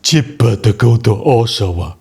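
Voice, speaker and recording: A man putting on a harsh, clipped Cantonese-accented English voice, enunciating clearly and not through the nose. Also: medium pitch, expressive, normal speed, a little mellow, voice pushed up. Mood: confrontational. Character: mock Cantonese-accented older Chinese man, harsh, blunt.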